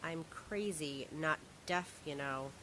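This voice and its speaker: A young woman playing a quiet, wry psychiatric patient. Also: deep voice, takes it slowly, slight background noise, full character voice, low-quality recording. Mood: hesitant.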